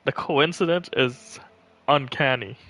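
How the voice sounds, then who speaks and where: A man's voice: medium pitch, measured pace, a bit bright, rough recording. A man giving casual commentary as he livestreams a game.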